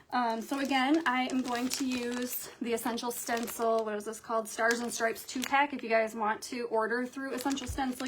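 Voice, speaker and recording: A young woman with a hoarse morning voice, chatting casually and thinking aloud while hosting a craft livestream. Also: a little high, noisy background.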